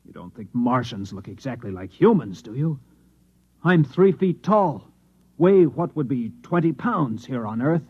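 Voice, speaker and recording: An actor in an old-time radio drama playing a frightened man who insists he is a Martian, agitated, halting and pleading. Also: mid-range voice, sing-song, slightly rough. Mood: grave, haunted. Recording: dull, lo-fi old radio recording.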